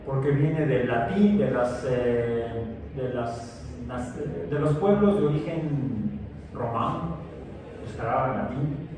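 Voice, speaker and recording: A man's voice: medium pitch, slow. Rambling male teacher in an echoey classroom. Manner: digressive, many fillers and restarts. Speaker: science teacher. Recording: echoey room, distant mic, noisy.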